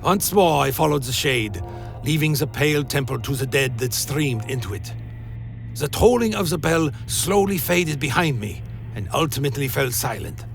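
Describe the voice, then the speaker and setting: An actor playing a weary undead vampire wanderer, telling his journey in solemn, literary phrasing for a dark fantasy radio play. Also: mid-range voice, lively intonation, moderate pace, gravelly. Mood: solemn, brooding. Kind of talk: dramatic first-person scripted narration, dark fantasy radio play. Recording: music behind the voice.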